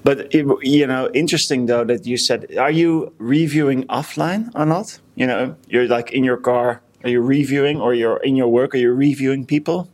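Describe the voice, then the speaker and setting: A young man co-hosting a casual chat podcast, halting and full of fillers and restarts. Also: medium pitch, darkish tone. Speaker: podcast co-host.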